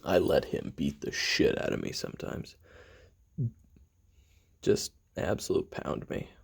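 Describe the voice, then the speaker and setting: Brooding assassin for a confessional audio drama, male actor: rock-bottom male pitch, heavy vocal fry, halting and profane delivery. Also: heavily acted. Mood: bitter, reflective. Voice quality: very deep, gravelly, husky. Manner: halting, casual, profane, with sighs and trailing pauses. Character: brooding, hot-tempered male assassin recording his memoirs. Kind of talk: audio roleplay monologue, confessional reminiscing.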